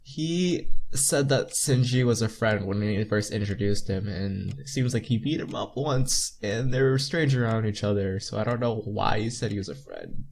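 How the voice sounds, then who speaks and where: A man's voice: on the low side, sing-song, moderate pace, vocal fry, hardly any pauses, darkish tone, a little hiss or hum. A young man hosting a game playthrough, reading story text aloud and commenting on it informally. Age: young adult. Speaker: playthrough host.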